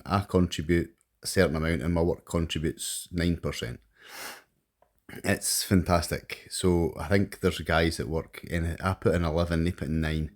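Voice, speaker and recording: Deep-voiced Scottish man explaining finances. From Scotland. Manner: rambling, conversational, with restarts and fillers. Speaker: crypto channel host. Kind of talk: casual explainer monologue.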